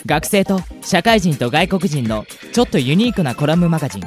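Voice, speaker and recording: A man's voice: a little high, big pitch swings, a little fast. A man speaking as a co-host on a casual radio show. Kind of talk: casual chat and sponsor reading. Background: music.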